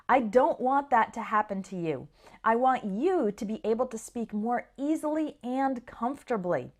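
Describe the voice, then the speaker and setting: A woman teaching an English lesson in a fairly high voice. Her intonation is very animated, rising and falling to stress key points. She explains step by step and addresses learners directly. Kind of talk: instructional lesson to camera or listeners.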